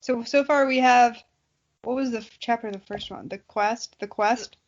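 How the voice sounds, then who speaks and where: A woman's voice: on the high side, moderate pace, highs cut off. A woman podcast co-host making casual, teasing, sarcastic comments.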